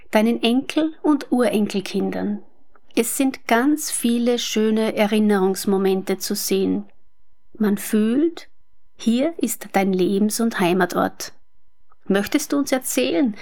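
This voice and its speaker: Slow, higher-voiced woman interviewer. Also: a little mellow. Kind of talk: prepared, formal interview introduction. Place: guest's apartment living room.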